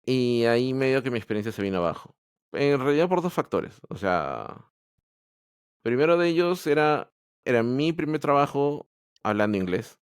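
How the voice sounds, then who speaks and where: A man's voice: mid-range voice, lively intonation, normal speed, smooth, dry recording, clean studio sound. A man from Peru, telling his story as a podcast guest in a candid, hesitant way full of restarts. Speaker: podcast guest, Android developer. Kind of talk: casual interview storytelling.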